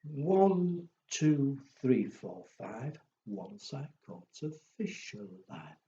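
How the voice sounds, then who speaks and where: A man's voice: mid-range voice, very animated, very slow, warm tone. A man telling stories and rhymes to young children in a playful, simply phrased way. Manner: playful, simple phrasing with acted woofs and exclamations. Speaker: children's storyteller. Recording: echoey room, dull sound, faint noise.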